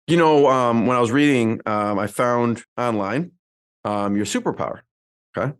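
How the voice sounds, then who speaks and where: A male podcast host with a mid-range voice talks loosely and warmly through his intro. He fills pauses with frequent ums as he chats his way to the guest. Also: mid-range voice, lively intonation, clean tone. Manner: chatty, filler-heavy with many ums. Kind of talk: conversational interview intro.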